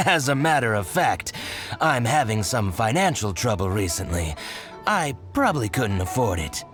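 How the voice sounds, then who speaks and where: A man playing a playful, smooth-talking small-time merchant in a video game, teasing and theatrical. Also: medium pitch, sing-song, normal speed, fairly bright tone. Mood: sly, teasing. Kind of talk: video game character acting. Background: game background music.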